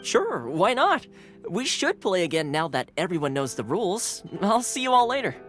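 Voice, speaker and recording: An easygoing young man from an anime game, with a very animated delivery. He is breezy, playful and a touch theatrical, with faint music behind. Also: sits high, normal speed. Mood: cheerful. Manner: breezy, mock-grand declarations. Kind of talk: anime-style video game voice acting.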